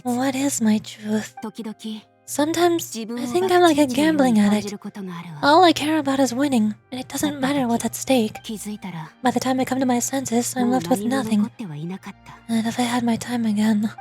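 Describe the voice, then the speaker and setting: A woman acting a bitter, high-voiced lady thief. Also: normal speed, music in the background, lightly acted. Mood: remorseful.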